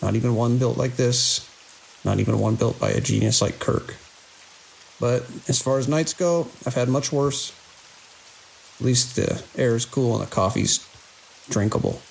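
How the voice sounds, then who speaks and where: An actor playing a weary, watchful security chief in an audio drama, speaking in a dry, measured way. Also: male voice, mid-range voice, gravelly, low-quality recording. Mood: reflective. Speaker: security lead. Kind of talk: audio drama, logs and radio dialogue. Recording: hiss and rain-like noise.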